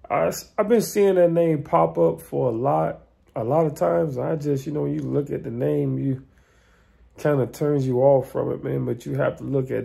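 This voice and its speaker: A man in his thirties lies back on his living-room couch and talks into a phone held at arm's length. His voice is heavily gravelly and husky, with a dark, mellow tone. He rambles easily, filling his talk with "man" and "um". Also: medium pitch. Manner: relaxed and rambling, lots of "um" and "man", lying back. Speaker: vlogger and fitness cook. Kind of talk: casual chat.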